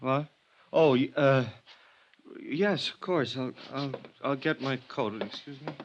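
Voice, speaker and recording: An American man playing a shaken, earnest suburban ex-husband in a 1950s radio drama, with a halting, emotional delivery. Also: medium pitch, dull audio, a little hiss or hum. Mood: flustered.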